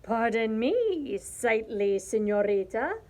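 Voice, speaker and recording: A woman's voice: a little high, big pitch swings, slow, a little mellow. A woman voicing a bold, flirtatious rooster suitor in a storybook, crowing and drawing out the words.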